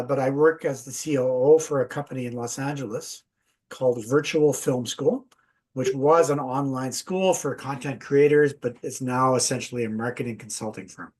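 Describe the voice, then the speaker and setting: A man who is a company executive introduces himself in a relaxed, conversational way. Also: mid-range voice. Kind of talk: self-introduction. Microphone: video call.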